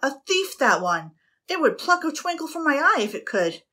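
A woman's voice: medium pitch, expressive, takes it slowly, bright, forceful tone, full character voice. A woman voicing a jealous, pompous male ship captain who blusters indignantly.

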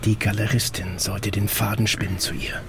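An actor playing a guarded middle-aged investigator in an audio drama, explaining things in a terse, matter-of-fact way. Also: male voice, fairly low pitch, flat delivery, moderate pace, background noise, natural voice. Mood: insistent. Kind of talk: German audio drama dialogue.

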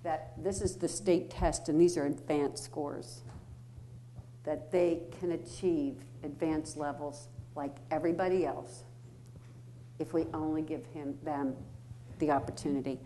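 A woman's voice: sits low, fairly even intonation, dark tone, slight background noise. A middle-aged woman giving a public lecture, explaining earnestly to an audience in a wood-panelled hall. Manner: earnest, explanatory, reads poetry slowly.

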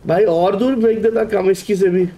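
Male game streamer, young adult, high but soft-toned voice, casual squad banter. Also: fairly even intonation, moderate pace. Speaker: gaming streamer. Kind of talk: casual squad gameplay chat.

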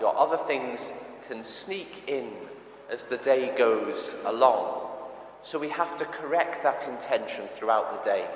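Echoey church sermon from a male preacher. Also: mid-range voice. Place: large reverberant church interior. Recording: echoey church with long reverb.

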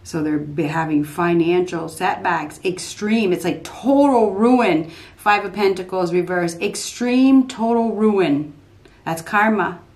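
A woman in her fifties giving an unscripted tarot reading at a desk in a small dark room, repeating herself emphatically as she thinks aloud. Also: lowish voice, slow. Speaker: tarot reader.

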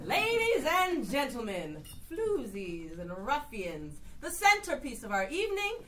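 Actress as a grandiose female emcee, high and swooping, unhurried; caught from across a noisy stage. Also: huge pitch swings, heavily acted. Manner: booming theatrical announcing, rolling lists of mock insults. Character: flamboyant female vaudeville emcee, grandiose and showy. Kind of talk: live staged musical reading. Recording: distant stage mic with room noise.

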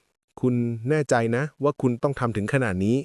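A man voicing a composed, skeptical male forensic doctor in an audiobook, with a measured, questioning manner. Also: mid-range voice, a little mellow, no echo, clean studio sound, close to an everyday voice. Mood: wary questioning.

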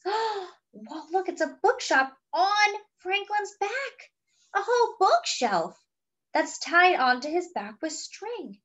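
A woman's voice: high-pitched, a bit bright. A woman reading a picture book aloud expressively, with chatty, wondering asides to young listeners. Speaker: children's story reader.

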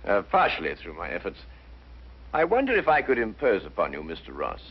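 An actor playing a courteous but commanding British detective with a precise, probing manner, in a 1950s mystery drama. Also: male voice, sits low, huge pitch swings, brisk, husky, full character voice. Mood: courteous, purposeful. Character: keen, courteous, commanding male detective questioning suspects. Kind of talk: 1950s television mystery acting. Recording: dull, muffled 1950s soundtrack.

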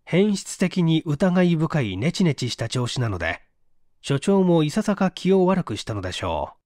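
A man's voice: a little high, very animated, moderate pace, a little mellow, no room sound, studio quality. A man reading a mystery story aloud as a scripted narration. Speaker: narrator, audiobook reader.

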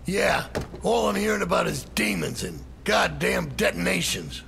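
A man's voice: sits high, sing-song, normal speed, gravelly, a bit bright, faint music behind, heavily acted. A man playing a middle-aged, hot-tempered, foul-mouthed crime boss in a video game, exasperated and profane. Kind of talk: cinematic game dialogue.

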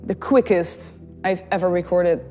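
A woman's voice: on the low side, a little slow, long reverb tail, faint music behind. A young woman with a Dutch accent, chatting casually to camera in a small studio, bubbly and giggly as she tells stories. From Netherlands. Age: young adult. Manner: bubbly, giggly, animated storytelling. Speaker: YouTube singer and host. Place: small studio in front of a blue backdrop. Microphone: studio condenser mic on the desk.

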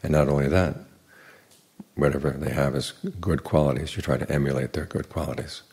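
An older man, a Buddhist monk, giving an evening dharma talk in an unhurried, plain teaching manner with dry humor. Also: steady tone, a little mellow. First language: English. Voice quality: very deep gravelly voice.

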